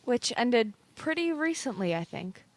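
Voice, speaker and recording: A woman's voice: a little high, very animated, unhurried, dry recording. A woman playing a frank, meddling young woman in an audio play, with a blunt, lecturing manner.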